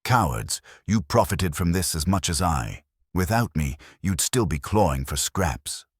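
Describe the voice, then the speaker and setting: A man's voice: low voice, lively intonation, a little slow, slightly rough, no echo, clean studio sound, full character voice. A man voicing a proud, furious male schemer in a narrated mystery, barking and sneering with defiance. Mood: scornful, furious.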